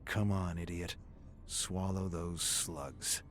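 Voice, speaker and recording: A man's voice: sits low, takes it slowly, heavy background noise, pitched below the performer's own voice. A man voicing a cocky, stubborn, foul-mouthed gambler and brawler in a dramatic story reading. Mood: cocky, contemptuous. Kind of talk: dramatic audiobook character voice.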